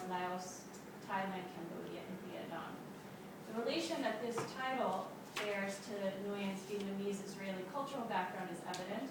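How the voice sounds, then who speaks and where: Woman, academic lecture, measured reading with fillers, distant mic, heavy hall echo. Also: medium pitch. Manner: measured, reads from prepared paper with fillers. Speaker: conference speaker. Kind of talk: academic conference talk. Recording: echoey hall from far off, loud hiss.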